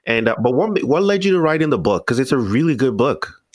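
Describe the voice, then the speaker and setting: A man's voice: medium pitch, big pitch swings, dry recording, studio quality. A man hosting an interview, asking questions with eager praise. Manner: enthusiastic, praising, quick bursts. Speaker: interview host.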